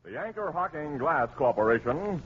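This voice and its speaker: A man's voice: fairly high pitch, sing-song, normal speed. An American man announcing and narrating from a script in polished 1940s radio style. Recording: muffled 1940s radio broadcast, music behind.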